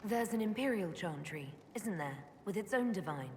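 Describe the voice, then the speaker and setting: Woman as earnest fantasy heroine in a game, calm n curious, mid pitch, hall reverb, noisy background. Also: lightly acted. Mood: earnest, questioning. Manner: measured, inquisitive, composed. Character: earnest, questioning adult female leader in a fantasy world. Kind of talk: video game character dialogue. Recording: reverberant hall, clear background ambience noise.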